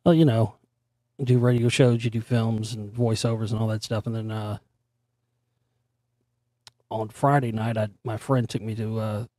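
A middle-aged man hosting his own show, his voice hoarse and still recovering, rambling casually with fillers and the odd curse word. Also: on the low side, fairly even intonation, normal speed, pauses often, dark tone, no room sound, clean studio sound. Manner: rambling, conversational, frequent fillers and mild swearing. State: hoarse, voice recovering after straining it. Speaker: radio show host. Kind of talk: casual solo talk show monologue.

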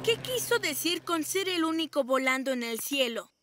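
A woman's voice: sits high, sing-song, normal speed. A woman voicing a supportive, earnest young child superhero in a cartoon. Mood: concerned. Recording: loud music behind the voice.

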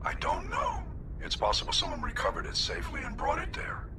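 A man playing a grave, authoritative senior admiral giving a formal, deliberate mission briefing in a video game. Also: fairly low pitch, huge pitch swings, sharp tone, full character voice. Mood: urgent, formal. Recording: clearly noisy background under the voice.

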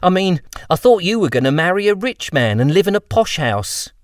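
A man's voice: high voice, very animated, moderate pace, dry recording, clean studio sound, pitched above the performer's own voice. A man voicing a poor, wide-eyed young boy, curious and a little naive.

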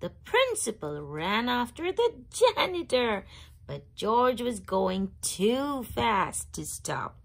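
A woman's voice: on the high side, big pitch swings, takes it slowly, creaky, fairly bright tone, rough recording. A woman reading a children's story aloud expressively, drawing out key words for young listeners. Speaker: storybook reader.

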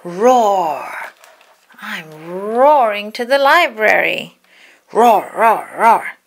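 A woman voicing a small, excitable storybook dinosaur who roars loudly and boastfully. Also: medium pitch, extremely slow, heavily acted. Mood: boisterous. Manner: loud, boastful, exclamatory roaring. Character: small excitable red cartoon dinosaur who loves roaring. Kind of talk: children's storybook read-aloud.

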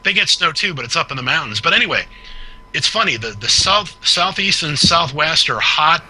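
A man's voice: on the high side, big pitch swings, moderate pace, bright, forceful tone, breathy edge, low-quality recording. A middle-aged man from Massachusetts, explaining health topics in casual chat over a video call headset. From Massachusetts, New England. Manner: expansive, gestures a lot while explaining. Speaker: guest health expert. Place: home room with window open and overhead fan.

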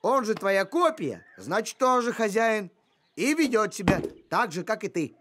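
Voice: mid-range voice, big pitch swings, a little slow, background noise. An actor voicing a cheeky, easygoing talking farm dog in a cartoon, grumbling and teasing in a plain-spoken way. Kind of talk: animated cartoon voice acting.

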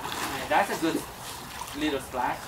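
A man's voice: fairly high pitch, unhurried. A middle-aged man, coaxing and teasing children as he coaches them by a backyard pool, recorded outdoors on a camera a few meters away. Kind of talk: casual family coaching. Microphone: handheld camera a few meters off. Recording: splashing water noise.

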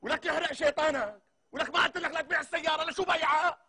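A man's voice: very high-pitched, steady tone, very fast, pushed and bright, full character voice. A man playing an argumentative, hot-tempered barber in a comedy sketch, speaking with a Syrian accent and repeating himself. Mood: angry, exasperated. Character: hot-tempered, chatty male barber ranting into his phone.

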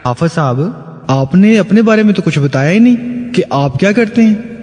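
A man's voice: high voice, expressive, moderate pace, a little mellow. A man with a Pakistani accent playing a mock-grand master of ceremonies in a scripted satirical comedy show. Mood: mock-formal. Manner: mock-grand, formal, storytelling. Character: mock-formal male master of ceremonies introducing politicians. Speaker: show host. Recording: hall reverb with music.

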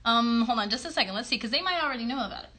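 Animated, high, bright-voiced woman children's host. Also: very fast. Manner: animated, encouraging, child-directed. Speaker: host, puppeteer. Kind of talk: chatty children's livestream. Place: bedroom. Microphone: phone at arm's length.

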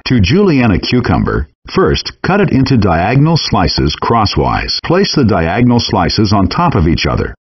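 Calm man, lo-fi game voice-over. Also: on the low side, breathy edge. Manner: calm, measured, instructive. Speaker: game narrator. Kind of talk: scripted instructional voice-over.

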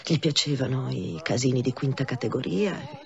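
Blunt, low-voiced brothel madam. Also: female voice, normal speed, creaky. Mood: broken, confessing. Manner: blunt, intense. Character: hard, defiant brothel madam. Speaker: actress in a radio drama. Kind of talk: dramatic radio acting. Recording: muffled radio drama sound, faint music.

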